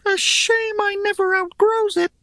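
A tiny male fantasy creature, pipes up in an extremely high, squeaky voice. He sounds childlike and earnest, pleading anxiously in babyish broken grammar, as in a storybook. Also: fairly even intonation, a little slow, sharp tone, dry recording, studio quality, exaggerated voice. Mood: earnest, wistful. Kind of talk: audiobook character acting.

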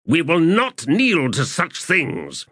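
A man reading aloud voices a blunt, grave male soldier officer in slow, measured words. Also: a little high, huge pitch swings, a bit bright, slightly rough, no echo, clean studio sound, pitched above the performer's own voice, full character voice.

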